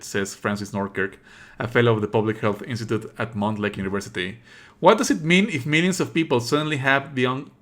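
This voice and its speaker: A man's voice: mid-range voice, fairly bright tone. A man streaming a let's play, reading on-screen text aloud and adding sarcastic, exasperated asides. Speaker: streamer playing a visual novel.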